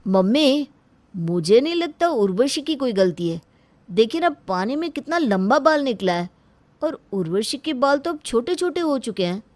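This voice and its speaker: A woman's voice: high voice, very animated, moderate pace, darkish tone, no room sound. A woman voicing a dry-sounding, coaxing son. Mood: reasoning. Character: reasonable adult son and husband.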